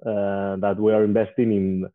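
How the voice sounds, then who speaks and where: A man in his forties from Spain, an English speaker who pauses often with "uh" as an interview guest. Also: on the low side, little pitch movement. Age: 40s. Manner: hesitant, frequent "uh" fillers. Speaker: guest, investor. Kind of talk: casual interview. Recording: tinny phone-like line.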